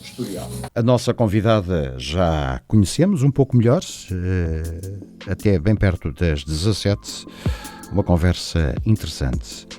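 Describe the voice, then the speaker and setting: A man with a European Portuguese accent and a very deep, mellow voice hosts a radio interview. He asks teasing, good-humored questions. Faint music plays behind him. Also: animated, unhurried. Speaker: radio host.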